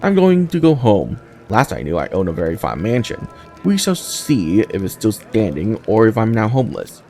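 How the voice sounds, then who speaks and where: Man playing a proud rich merchant, fantasy game, pompous, fussy diction, animated low voice, light noise. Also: normal speed, faint music behind. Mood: gloomy, disdainful. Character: haughty wealthy merchant man, proud, indignant.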